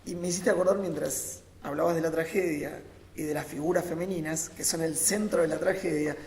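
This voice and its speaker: A man giving an improvised philosophical talk on a panel, phrasing deliberately and emphatically in short bursts with pauses. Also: fairly high pitch, fairly even intonation, a little mellow, a little hiss or hum. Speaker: panel speaker, academic. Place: large reverberant conference room. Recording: echoey hall with long reverb.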